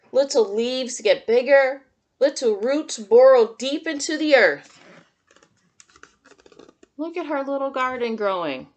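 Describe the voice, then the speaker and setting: A woman's voice: high voice, fairly bright tone. A woman teacher reading a picture book aloud to young children, adding simple, encouraging comments and questions as she goes. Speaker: kindergarten teacher.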